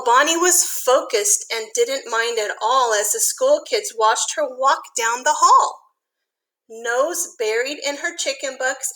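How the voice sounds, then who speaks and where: Bright, high-voiced woman reading to children. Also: low-quality recording. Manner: animated, sing-song, chatty asides to young listeners. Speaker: elementary school teacher reading to children. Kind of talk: expressive children's picture book read-aloud with asides.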